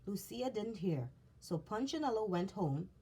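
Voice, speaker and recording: A woman reading a children's story aloud in an expressive narrating style. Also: mid-range voice, measured pace, slightly rough. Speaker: storybook reader.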